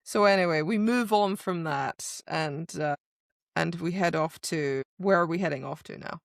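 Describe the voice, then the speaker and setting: Woman, vocal fry, lowish pitch, casual recap, emphatic quoted dialogue, dry studio-like sound. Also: moderate pace, no echo. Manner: emphatic retelling, acting out characters' dialogue. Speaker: podcast co-host. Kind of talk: casual chat recapping a fantasy novel.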